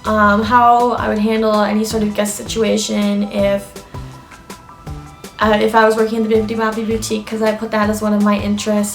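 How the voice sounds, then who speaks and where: A woman's voice: mid-range voice, gravelly. A young woman chatting informally through advice from her notes, explaining and listing points as a vlogger. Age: young adult. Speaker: vlogger giving interview tips. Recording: echoey room with background music.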